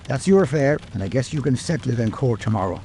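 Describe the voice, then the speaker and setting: Casting: stern police captain played by a man, mellow lowish voice, curt commands, audiobook drama, some background noise. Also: expressive, fast talker, lightly acted. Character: stern, unyielding male police captain on duty. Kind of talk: audiobook character acting.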